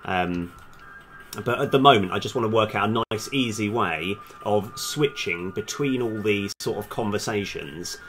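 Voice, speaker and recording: Low-voiced man, rambling and hesitant. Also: measured pace, a bit bright, soft background music. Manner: rambling, hesitant, self-deprecating. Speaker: streaming game developer, host. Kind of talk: casual live coding stream talk, thinking aloud.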